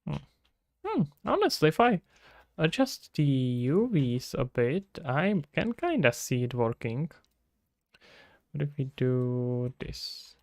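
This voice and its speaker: A man's voice: a little high, big pitch swings, slow, darkish tone, no echo. A Czech-accented man streaming game development, thinking aloud and answering chat questions casually. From Czech Republic. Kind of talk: casual live-stream chat while working.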